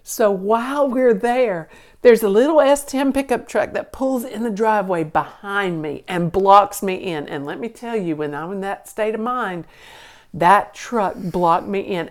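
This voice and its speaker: A woman with a deep voice co-hosting a podcast, telling a painful memory candidly, swearing freely and laughing through the hard parts. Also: unhurried. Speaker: podcast co-host. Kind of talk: candid conversational storytelling.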